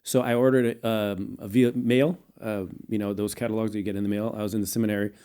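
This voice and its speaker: Guest man, low and mellow, measured and halting, raised in Portugal. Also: flat delivery, smooth. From United States, raised in Portugal. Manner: measured, many fillers and restarts. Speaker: guest, venture capitalist. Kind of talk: casual interview answers.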